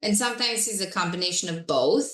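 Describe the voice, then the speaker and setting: A woman's voice: mid-range voice, animated, brisk, fairly bright tone, echoey room. A woman from Romania, a guest telling her career story in an interview with frequent laughs. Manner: reflective, laughs often.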